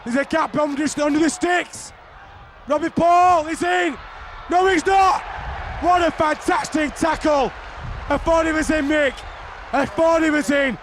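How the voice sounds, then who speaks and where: A man giving excited play-by-play commentary on a rugby league match, often shouting over the action. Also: very high voice, a little slow. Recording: archive broadcast with crowd noise.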